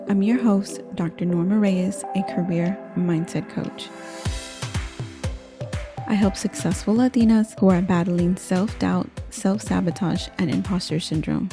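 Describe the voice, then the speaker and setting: A woman's voice: lowish voice, mellow. A woman hosting a career podcast, upbeat and encouraging as she introduces guests and asks questions. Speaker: podcast host, career coach. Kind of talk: scripted intro then casual interview.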